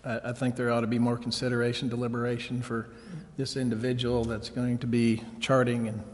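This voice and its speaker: A man, a council member, speaking unscripted at a public meeting in a halting, measured way. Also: mid-range voice, monotone. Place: large indoor council chamber. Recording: echoey council chamber.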